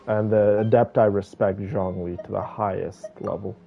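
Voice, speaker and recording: A man's voice: on the low side, fairly even intonation, normal speed, gravelly, dull audio, faint music behind. A man giving casual gameplay commentary, thinking aloud and talking to his viewers. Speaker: game streamer playing and commenting.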